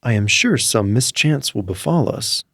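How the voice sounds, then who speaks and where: A man gives voice to a worried youngest princess in a storybook, uneasy and hesitant. The tone is a little husky, close and dry. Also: mid-range voice, lively intonation, normal speed, fairly bright tone, no room sound, full character voice.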